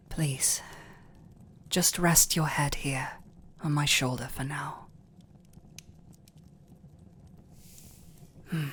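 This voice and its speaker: A man playing a tender, restrained vampire lord in a romantic ASMR roleplay, with a British accent and a breathy voice that often slips into whispers, speaking gently and intimately. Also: fairly high pitch, slight background noise. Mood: affectionate. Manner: slow, gentle, intimate, formal phrasing. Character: tender, restrained male vampire lord, doting on his servant.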